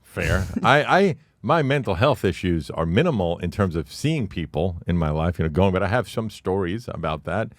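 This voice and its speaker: A man's voice: low-pitched, expressive, moderate pace, no room sound, studio quality. A man in his late forties, a guest on a podcast, talking in a blunt, profane, brash storytelling way. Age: 40s. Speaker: podcast guest, comedy club owner. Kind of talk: casual podcast chat.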